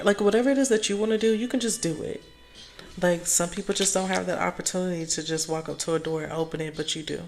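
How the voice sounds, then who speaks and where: A hoarse woman speaking calmly and softly as she gives a casual tarot reading. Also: lowish voice. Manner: calm, soft-spoken, chatty. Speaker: tarot reader.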